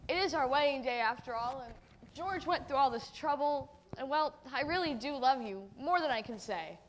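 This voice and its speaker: Woman playing a lovesick young groom, earnest, pleading, high. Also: animated, moderate pace, a little hiss or hum.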